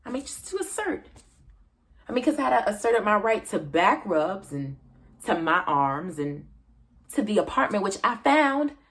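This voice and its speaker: A woman with an American accent performing an aggrieved, self-justifying wife in a dark comic stage monologue, confiding and dramatic. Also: medium pitch, voice pushed up. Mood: defensive, indignant.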